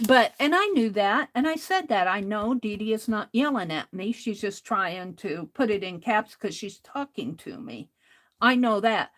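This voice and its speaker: A woman hosting an art livestream, talking casually to chat in a loud voice, rambling and restarting her sentences. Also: medium pitch, lively intonation, normal speed.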